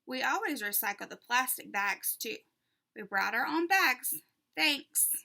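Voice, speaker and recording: A woman reading a children's story aloud in an expressive, deliberate way meant for young listeners. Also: high voice, creaky.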